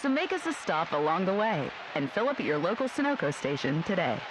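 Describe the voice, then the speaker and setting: A woman's voice: on the low side, very animated, fairly quick, background noise. A woman reading a scripted radio commercial as an announcer. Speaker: advert announcer.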